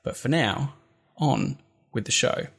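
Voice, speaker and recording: A man's voice: mid-range voice, takes it slowly, gravelly, a bit bright, slight background noise. A man reading a podcast host's announcement to listeners before an episode. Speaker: host and game master introducing the episode.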